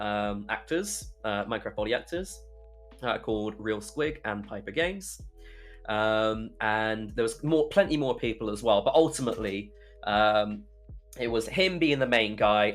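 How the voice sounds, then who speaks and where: A young man with a British accent and a lowish voice talks as a podcast guest. He rambles in a chatty, casual storytelling way, with music playing behind him. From United Kingdom. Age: young adult. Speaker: podcast guest, YouTuber and streamer.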